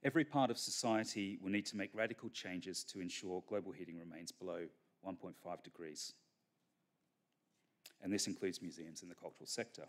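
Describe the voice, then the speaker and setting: A middle-aged man giving a keynote lecture from a podium on a conference stage, measured and full of fillers and restarts. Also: low-pitched. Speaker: keynote speaker. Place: large conference hall stage. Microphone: podium microphone.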